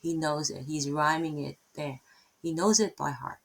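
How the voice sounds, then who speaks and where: A middle-aged woman with a Filipino accent speaks in a low, gravelly and husky voice. She teaches scripture casually, wandering off topic and tagging her points with "right?". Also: normal speed. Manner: rambling, self-interrupting, tags many lines with "right?". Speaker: Bible teacher. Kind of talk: casual unscripted Bible teaching.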